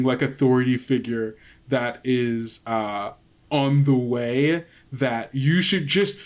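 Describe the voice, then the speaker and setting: Conversational man narrating as game master. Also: medium pitch. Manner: halting, conversational narration with repeated phrases. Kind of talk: improvised narration of a tabletop role-playing game.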